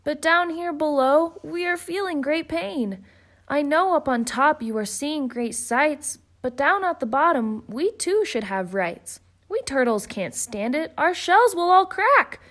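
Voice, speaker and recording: A woman's voice: sits high, lively intonation, unhurried, a bit bright, pitched above the performer's own voice, heavily acted. Woman doing a meek, pleading little turtle. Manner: pleading, groaning. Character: plain humble little male turtle, weary.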